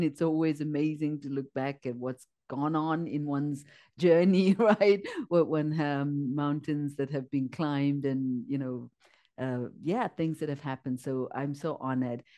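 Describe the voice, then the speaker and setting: A woman from Zimbabwe, a podcast guest telling her life story in an earnest way, often pausing and searching for words. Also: low-pitched, mellow. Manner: earnest, searching for words with many fillers and laughs. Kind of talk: casual interview, personal story.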